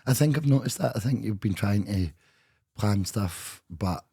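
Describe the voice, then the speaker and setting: Young male co-host, very low and husky, relaxed, hesitant um-filled chat. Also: moderate pace. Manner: laid-back, laughs easily, hesitant fillers. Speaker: podcast co-host. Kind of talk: casual couple's podcast chat.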